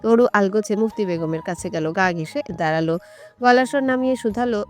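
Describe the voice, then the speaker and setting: Female storyteller, medium pitch, soft music. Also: a little fast. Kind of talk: scripted narration of a romantic audio story.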